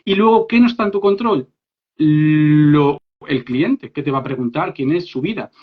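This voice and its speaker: A man from Spain with a Spanish accent and a fairly high voice, leading a live online workshop as an English coach. He talks in a lively, direct way, checking in with his listeners often. The audio is dull and lo-fi, like a livestream. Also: normal speed. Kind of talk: informal live workshop talk. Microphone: phone or laptop mic on a live stream.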